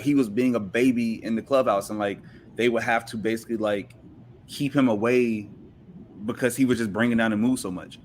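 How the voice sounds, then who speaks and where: A man's voice: fairly low pitch, a little hiss or hum. A man speaking as a podcast guest in casual sports chat, telling and explaining stories colloquially and checking in with listeners. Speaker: podcast guest discussing football.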